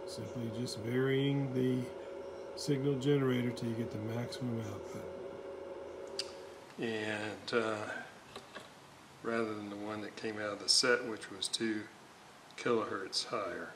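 A man's voice: lowish voice, little pitch movement, background noise. A man talking through a radio repair at his workbench, muttering to himself as he works. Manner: slow, muttering to himself while working. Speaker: radio restorer. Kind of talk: hands-on repair walkthrough. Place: workbench indoors.

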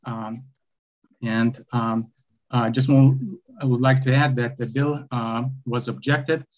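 Young man, Lithuanian accent, halting legal argument full of fillers, muffled lo-fi video call audio. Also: medium pitch, little pitch movement, darkish tone. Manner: halting, many fillers and restarts, reading case citations. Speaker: appellant arguing his own appeal. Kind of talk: remote oral argument before a court. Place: bright indoor room, video call.

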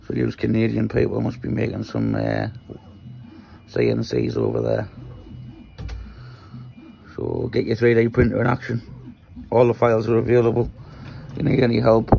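A man from Newcastle with a Geordie accent and a croaky voice, casually talking through his workshop project while filming on a handheld phone in a small shed. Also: medium pitch, mellow, slight background noise, low-quality recording. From Newcastle, England. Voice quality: croaky, gravelly voice. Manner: rambling, explains while handling parts. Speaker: maker vlogger.

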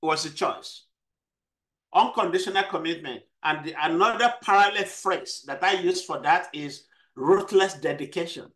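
A man's voice: on the high side, normal speed, a bit bright. Emphatic man with a South Texas accent. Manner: emphatic, repeats key phrases. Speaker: club member giving a speech. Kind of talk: motivational club speech. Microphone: video call.

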